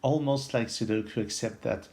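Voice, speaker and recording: A man from France with a French accent, giving an unscripted puzzle tutorial in a halting, step-by-step way, thinking aloud. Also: medium pitch, darkish tone. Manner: halting, pauses mid-sentence, explains step by step. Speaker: puzzle video host.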